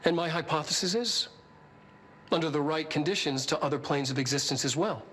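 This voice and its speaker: A man playing an earnest scientist in a video game, presenting his theory in a didactic, animated way. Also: on the high side, brisk, heavy background noise, natural voice. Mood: intrigued.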